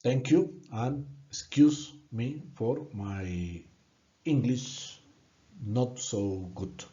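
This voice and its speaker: Slow, earnest Colombian-accented man. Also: medium pitch, warm tone, highs cut off. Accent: Colombian Spanish. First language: Spanish. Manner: earnest, halting, repeats words when stuck. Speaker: student presenting a course project. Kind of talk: prepared presentation narration.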